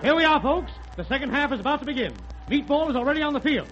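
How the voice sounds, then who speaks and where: An excitable football commentator in a very high-pitched voice. The 1930s radio comedy recording is muffled and noisy. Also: male voice, fast talker. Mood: excited. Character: excitable football radio announcer calling the game.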